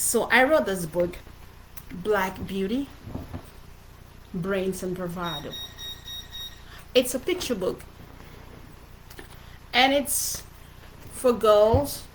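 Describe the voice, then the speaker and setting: A middle-aged woman with a Cameroonian accent talks informally on a livestream in a motivational way. Her medium-pitched voice is earnest and emphatic, and she often restates her phrases. There is faint noise in the recording. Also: poor audio. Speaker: author presenting her book.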